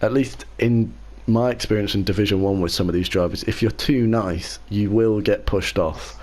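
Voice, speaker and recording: Guy with a lowish voice doing casual podcast chat, measured, hedges his takes a bit. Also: darkish tone. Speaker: podcast co-host and league racer.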